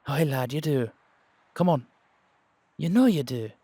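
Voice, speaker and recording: A man's voice: fairly high pitch, expressive, measured pace, dark tone, no echo. A man acts out an Irish warrior who has lived very long, speaking as a blunt mentor. He scolds with affection and coaxes along in an audio roleplay. The sound is dry. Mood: coaxing. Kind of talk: amateur audio roleplay drama.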